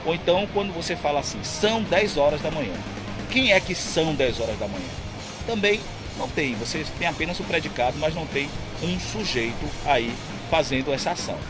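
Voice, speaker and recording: Lively male teacher. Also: on the high side, fairly quick, over music. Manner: asks and answers his own questions. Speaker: Portuguese teacher. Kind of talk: casual outdoor grammar lesson. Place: outdoors at a beach.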